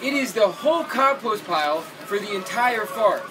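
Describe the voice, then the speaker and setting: High-voiced young man touring a farm. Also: normal speed, noisy background. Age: young adult. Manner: steady, methodical explaining. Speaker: farmer giving a tour. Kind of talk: explanatory farm tour. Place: outdoors on a farm among gardens and animal pens. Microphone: camera at a few meters.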